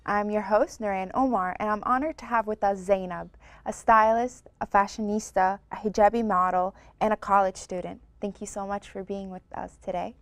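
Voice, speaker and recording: A woman's voice: mid-range voice, fairly even intonation, normal speed, dry recording. A young woman hosting a youth show, asking her guests upbeat, curious questions. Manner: upbeat and inquisitive. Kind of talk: interviewing guests.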